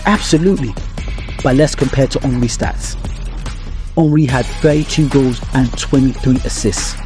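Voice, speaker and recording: A man's voice: mid-range voice, moderate pace. A man delivering scripted sports analysis as a video narrator, with emphatic phrasing and rhetorical questions to the viewer. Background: music.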